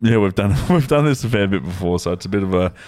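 Lively, crude young Australian man. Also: sits low, fast talker, dry recording. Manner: crude, joking, laughs often. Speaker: podcast co-host. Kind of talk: casual banter.

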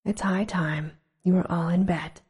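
A woman's voice: on the low side, steady tone, unhurried, darkish tone, breathy edge. A woman voicing an anxious mother canary in a whisper.

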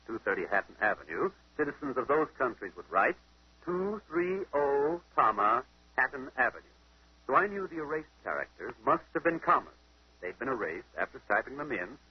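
A man's voice: medium pitch, sing-song, normal speed, slightly rough. An actor playing a confident amateur detective who methodically questions and explains his deductions in 1940s radio mystery style. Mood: deductive, assured. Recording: muffled old-time radio broadcast.

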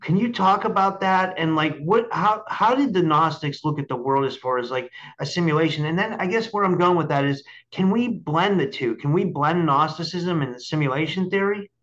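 A male podcast host with a fairly high voice talks in a casual, rambling way, often doubling back on words. He is on a video call with slightly dull, lo-fi sound. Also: expressive, moderate pace, hardly any pauses, fairly bright tone. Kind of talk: casual interview chat. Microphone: remote video call.

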